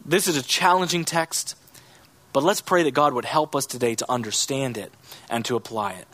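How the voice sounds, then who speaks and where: A man preaching as pastor, reading scripture and praying in an earnest, measured way, with a cough. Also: mid-range voice, a bit bright. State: has a cough. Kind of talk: sermon with scripture reading and prayer.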